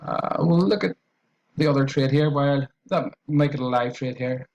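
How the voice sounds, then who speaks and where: A man's voice: mid-range voice, moderate pace, a little mellow, highs cut off, a little hiss or hum. A man with an Irish accent talking through a trading tutorial on screen, in a loose, repetitive way with fillers and restarts. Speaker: trading educator.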